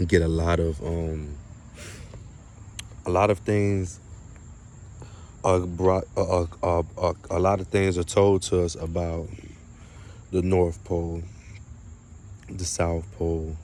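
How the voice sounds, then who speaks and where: Low, monotone man speaking haltingly. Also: a little hiss or hum. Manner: halting, emphatic, repetitive phrasing. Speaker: spiritual video creator. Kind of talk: unscripted spiritual monologue to viewers.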